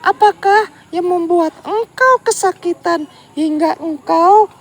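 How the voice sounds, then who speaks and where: Lady doing a tiny brave grasshopper kid, super high and sweet, slow. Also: full character voice. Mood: caring. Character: brave, kind little young grasshopper.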